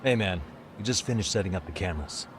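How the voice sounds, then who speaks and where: A man playing a calm, casual private detective in his thirties in a video game cutscene, with a dry manner. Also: low voice, quick pace, a little hiss or hum.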